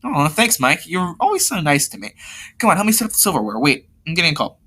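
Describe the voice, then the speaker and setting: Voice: medium pitch, big pitch swings, quick pace, sharp tone, slightly rough, exaggerated voice. A story reader voicing a friendly, easily worried teenage girl. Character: anxious, friendly teenage girl organizing her birthday party.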